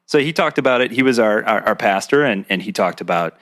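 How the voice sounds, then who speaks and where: A man's voice: fairly low pitch, lively intonation, fast talker, fairly bright tone. A man co-hosting a podcast from someone's home, explaining things at length with many fillers and restarts. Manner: rambling, filler-heavy explaining. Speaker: podcast co-host. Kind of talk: casual podcast chat. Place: a private home.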